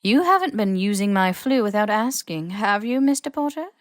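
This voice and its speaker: A woman voicing a stern, shrewd older school headmistress in an audiobook, with crisp, formal phrasing and dry exasperation. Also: mid-range voice, lively intonation, normal speed, no echo, pitched above the performer's own voice, close to an everyday voice. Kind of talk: audiobook character voice.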